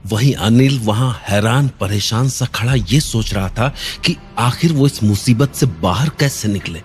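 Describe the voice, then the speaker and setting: A man narrating a cartoon horror story in a suspenseful, measured storytelling manner. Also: mid-range voice, a little hiss or hum.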